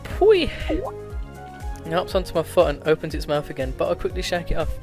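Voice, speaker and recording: A man's voice: fairly high pitch, steady tone, very fast, faint music behind. A man hosting a let's play, reading a visual novel aloud with stumbles, self-corrections and laughs.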